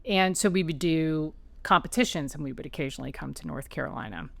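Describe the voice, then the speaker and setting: A woman's voice: low-pitched, quick pace, gravelly, no room sound. A woman author being interviewed at home, chatty and anecdotal, restarting sentences as she talks. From New York area, raised as an Army child. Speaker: author guest. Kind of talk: conversational interview. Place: her home.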